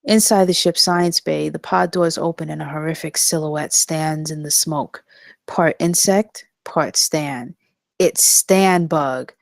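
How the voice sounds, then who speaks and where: A woman's voice: deep voice, gravelly, no room sound. A woman narrating scripted stage directions at a live comedy table read.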